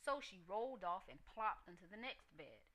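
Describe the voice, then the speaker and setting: A woman storyteller giving an expressive narration of a children's tale. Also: mid-range voice, normal speed.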